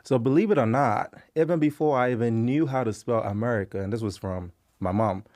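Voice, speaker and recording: A man's voice: mid-range voice, a little fast, a little mellow, dry recording, clean studio sound. A young man from Ghana, a podcast guest, talking easygoingly and reflectively in a casual interview. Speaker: podcast guest, civil engineer.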